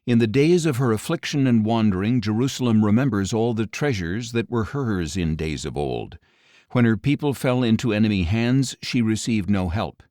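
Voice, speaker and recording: A man reading scripture as an audiobook narrator in a solemn, grave, measured delivery with deliberate pauses. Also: fairly low pitch, expressive, a little mellow, dry recording, clean studio sound.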